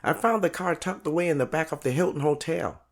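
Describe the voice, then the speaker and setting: A man playing a loyal, tech-savvy cousin in an audio drama, speaking in a measured, serious, colloquial way. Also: a little high, natural voice. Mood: earnest.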